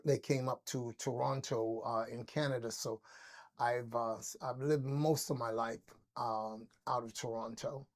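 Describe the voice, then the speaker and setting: A man's voice: medium pitch, steady tone, a little slow. An older man from Barbados, an interview guest telling stories in a loose, rambling way with many fillers. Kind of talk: casual interview storytelling.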